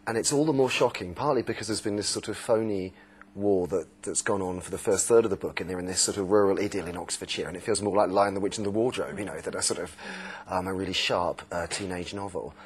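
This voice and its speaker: Low, husky middle-aged male panelist. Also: fast talker. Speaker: book discussion panelist. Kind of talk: thoughtful book review discussion.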